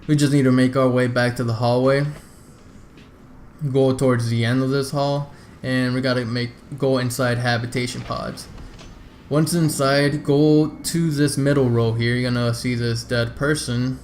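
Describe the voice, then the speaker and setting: A man's voice: mid-range voice, little pitch movement, moderate pace, darkish tone, a little hiss or hum. A man giving a casual, step-by-step video game walkthrough, talking informally and directly to his viewers. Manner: informal, addresses viewers as "you guys".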